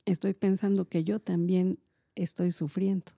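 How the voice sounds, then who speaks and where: A woman's voice: fairly low pitch, little pitch movement, measured pace, creaky, dark tone, husky, no room sound. A middle-aged woman with a Mexican accent, explaining things in a reflective, meandering and informal conversational way. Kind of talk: casual conversational explanation on a podcast.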